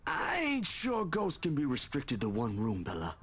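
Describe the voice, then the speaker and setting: A woman's voice: extremely low pitch, huge pitch swings, moderate pace, slightly rough, voice pushed down, exaggerated voice. A woman voicing a friendly, laid-back young man in a visual novel, with folksy phrasing. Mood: casual, persuasive. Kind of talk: visual novel character read-aloud. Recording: background music behind voice.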